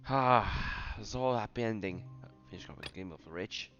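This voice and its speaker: A man's voice: fairly low pitch, animated, moderate pace, muffled recording. A man giving casual, hesitant commentary as he streams a game playthrough. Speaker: gaming streamer. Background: game music.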